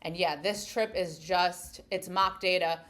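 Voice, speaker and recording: A woman with an American accent talks through a demo in a casual, explanatory way. Her voice sits a little lower than most women's. She presents as a content creator, regularly checking that the listener follows. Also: steady tone, unhurried, a bit bright. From United States. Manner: explanatory, often checking in with "right?". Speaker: travel content creator and host. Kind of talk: casual screen-share app walkthrough.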